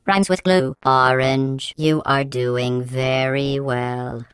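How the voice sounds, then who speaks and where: A woman playing a coldly menacing, sarcastic female artificial intelligence in a video game, deadpan and falsely polite, with robotic processing on the voice. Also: a bass voice, clear, resonant tone, no room sound, studio quality. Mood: falsely polite, condescending. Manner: deadpan, passive-aggressive, falsely polite, measured enunciation. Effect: robotic synthesized voice processing. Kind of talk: scripted video game voice acting.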